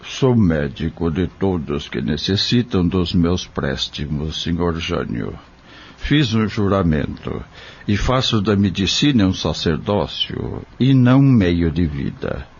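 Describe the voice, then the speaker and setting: Man acting a slow, deep-voiced, kindly country doctor. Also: sing-song, husky, dull audio, heavily acted. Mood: solemn, kind. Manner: solemn, measured, gently preaching. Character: kind, grave male country doctor, devout and fatherly. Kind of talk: audio drama acting.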